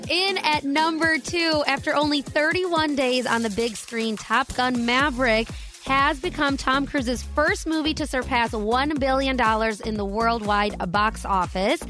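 A woman's voice: high-pitched, unhurried, fairly bright tone, soft background music. A woman co-hosting a morning radio show, reading trending entertainment news and chatting about it. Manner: chatty, reads headlines then riffs.

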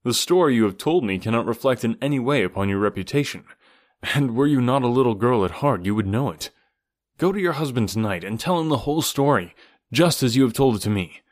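A man voicing a strong, lonely young jungle man who speaks in a calm, measured and direct way. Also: medium pitch, lightly acted. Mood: earnest.

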